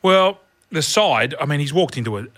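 An Australian man, a former player co-hosting, throwing in quick jokes in casual banter. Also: fairly high pitch, animated, fairly bright tone. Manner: quick, joking interjections.

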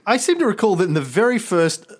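A man's voice: sits high, quick pace. Guy hosting a podcast, jokey, swears a lot, voice bouncing all over. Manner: joking, swears freely, animated. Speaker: podcast host. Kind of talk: casual chat.

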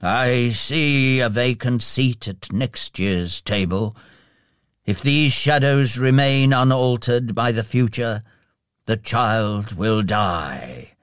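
A man's voice: medium pitch, slow, smooth, no room sound, clean studio sound, close to an everyday voice. A man voicing a stern, sorrowful male spirit in a storybook, making grave pronouncements. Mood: sad.

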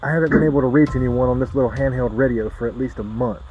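A man's voice: medium pitch, fairly even intonation, quick pace, faint music behind, lightly acted. A man playing an anxious survivor alone in a vault, speaking urgently in an audio drama over a handheld radio. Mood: uneasy. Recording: muffled, noisy hand-held radio.

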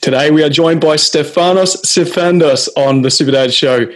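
A man hosting a podcast, reading a scripted intro slowly, then asking casual interview questions. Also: medium pitch. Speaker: podcast host.

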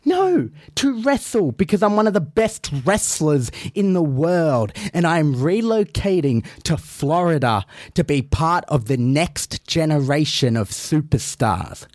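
A man's voice: fairly high pitch, sing-song, unhurried, few pauses, a little mellow, dry recording, clean studio sound. A man, a wrestler guest on a podcast, trading cocky, brash trash talk. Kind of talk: boastful in-character banter.

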